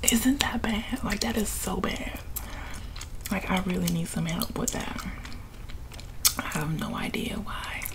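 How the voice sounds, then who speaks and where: A woman's voice: mid-range voice, creaky. Whispering chatty young woman. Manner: chatty, laughing, slangy. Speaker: ASMR vlogger. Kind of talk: whispered ASMR ramble. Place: living room with couch, indoors.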